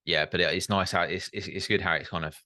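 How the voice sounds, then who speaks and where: A young man hosts a relaxed podcast chat with a low but bright, forceful tone. He hedges, restarts and tacks tag questions onto his remarks. The sound is close and dry. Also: quick pace, no room sound. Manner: hesitant, many fillers and restarts, tag questions. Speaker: podcast host. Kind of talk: casual interview chat.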